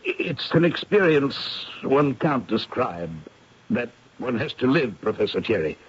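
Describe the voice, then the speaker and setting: Dull old radio recording. a formal, protective country-house husband with a husky voice. He speaks gravely and in measured phrases, in radio drama style. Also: medium pitch, very animated, heavily acted. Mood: grave, dramatic.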